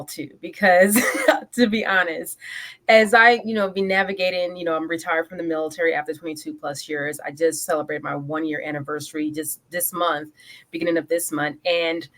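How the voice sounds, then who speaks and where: A woman's voice: fairly low pitch, moderate pace, a bit bright. A woman in her forties from Guyana, a guest on a podcast interview, talking eagerly and energetically, often stopping to correct herself. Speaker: guest, business coach and military veteran.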